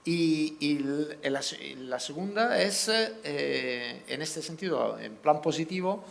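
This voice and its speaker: A middle-aged man with a slight Italian accent, speaking as a panelist in a forum discussion and pausing often with fillers. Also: on the high side, lively intonation, vocal fry. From Italy. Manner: hesitant, many fillers and restarts.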